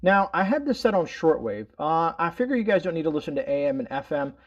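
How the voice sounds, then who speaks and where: A middle-aged man with a fairly high voice walks through a product demo. He talks informally and wanders through his explanations, tacking verbal tags onto phrases. The recording is slightly dull. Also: big pitch swings, fast talker. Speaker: host of a prepping product review channel. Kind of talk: casual product demonstration. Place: indoors in a room at home.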